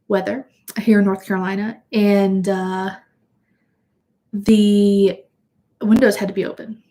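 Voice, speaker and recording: A young woman talks loosely to her viewers on a home livestream, her voice a little sniffly from allergies. She rambles at an everyday pace, at a middle pitch for a woman, with plenty of "um"s and restarts. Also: darkish tone. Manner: chatty, rambling, with fillers and restarts. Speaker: vlogger hosting a livestream. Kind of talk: casual livestream chat. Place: at home indoors.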